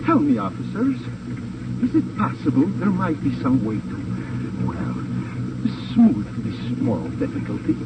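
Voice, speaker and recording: An actor playing a suave, unflappable German smuggler with a formal, sly, deliberate manner and a German accent in a radio crime drama. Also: male voice, on the high side, wildly swooping pitch, far from the mic. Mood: smoothly insinuating. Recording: noisy, dull old 1950s radio recording.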